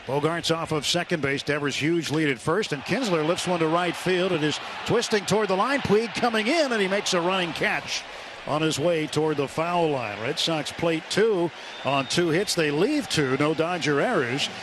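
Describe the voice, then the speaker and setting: Higher-voiced man calling baseball over stadium noise. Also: moderate pace. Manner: clipped descriptive phrasing, lifting into excited calls. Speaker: radio play-by-play announcer. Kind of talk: live baseball play-by-play commentary. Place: ballpark broadcast booth. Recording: radio broadcast with loud ballpark crowd noise.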